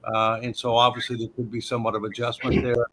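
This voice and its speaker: A man's voice: mid-range voice, completely flat delivery, quick pace, fairly bright tone, low-quality recording. A man hosting an economics forum, explaining policy effects with frequent hesitations and "okay" checks.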